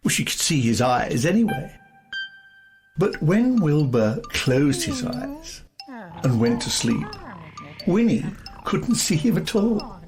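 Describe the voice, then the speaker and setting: A woman reading a children's story in a deliberate, expressive way, with music behind her. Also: on the low side. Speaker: storybook reader. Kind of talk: scripted children's story narration.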